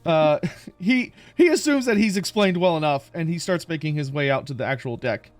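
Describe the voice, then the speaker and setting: Male tabletop player, slightly high voice, deliberate descriptive narration. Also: expressive, no room sound. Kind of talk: describing his character's actions.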